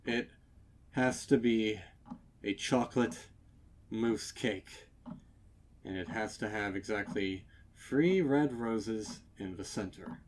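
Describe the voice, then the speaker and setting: A man's voice: lowish voice, slow, slight background noise. A man voicing a frantic male pony husband who speaks urgently and emphatically, pleading.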